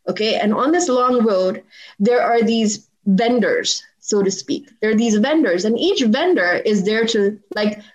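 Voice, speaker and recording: A woman's voice: on the high side, low-quality recording. A woman in her forties, a podcast guest telling a personal story in conversation and acting out the dialogue with animation. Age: 40s.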